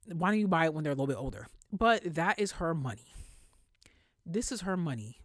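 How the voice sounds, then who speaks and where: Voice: medium pitch, very animated, fairly quick, a bit bright, no echo, studio quality. A podcast host giving casual, opinionated celebrity commentary in a conversational, emphatic way with plenty of slang.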